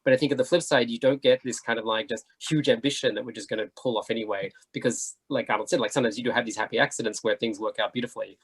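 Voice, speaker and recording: Fast-talking man with a husky edge. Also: medium pitch, fairly even intonation. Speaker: panelist. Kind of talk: casual panel discussion.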